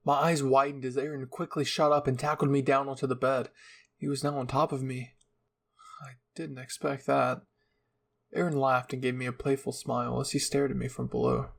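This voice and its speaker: Casting: male narrator for fan fiction, scripted reading with an average-pitched voice. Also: little pitch movement, normal speed, gravelly. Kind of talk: scripted story narration, ASMR roleplay reading.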